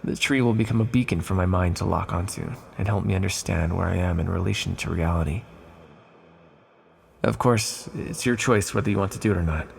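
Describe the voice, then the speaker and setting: An ancient, accursed villain, acted by a man for an ASMR roleplay, speaks in a low voice thick with gravelly fry. The delivery is measured and formal, with hesitant confidences. Menace sits beside a tender, calm temper. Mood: wry, fond.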